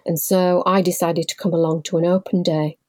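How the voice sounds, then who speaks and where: A woman in her forties from Leeds, a guest telling her story in a measured, thoughtful way with frequent pauses. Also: lowish voice, little pitch movement, dark tone, dry recording. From Leeds, England. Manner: measured and thoughtful, pausing often. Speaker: guest, patient involvement manager. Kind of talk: reflective personal storytelling.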